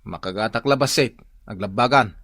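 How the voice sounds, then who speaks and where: A man's voice: fairly low pitch, a little fast, a bit bright, no room sound, clean studio sound. A man acting a sly, evasive, jumpy philandering husband in Ilocano radio comedy-drama. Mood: flustered, evasive.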